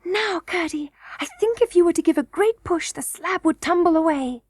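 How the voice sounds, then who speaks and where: A woman's voice: extremely high pitch, moderate pace, a little mellow, no room sound, clean studio sound, pitched above the performer's own voice. A woman voicing an eager, trusting little princess girl, earnest and breathless, in a children's storybook reading. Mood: joyful.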